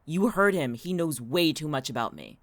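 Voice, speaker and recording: Actor as irritable young woman, middling pitch, lively intonation, guarded, sarcastic, naturalistic audio drama. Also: moderate pace, dry recording, natural voice. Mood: tense, pleading. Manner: snappy, sarcastic.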